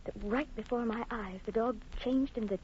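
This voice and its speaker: A woman's voice: on the high side, normal speed, darkish tone, natural voice. An actress playing a frightened, anguished wife in old-time radio horror, breathless and faltering in her delivery. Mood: shaken. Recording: noisy, dull old-time radio broadcast.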